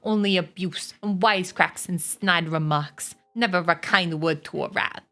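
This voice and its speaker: A woman's voice: on the low side, a little slow, pushed and bright. A woman reading a storybook aloud and voicing a grumpy, sarcastic male rat who growls and mocks. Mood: mocking.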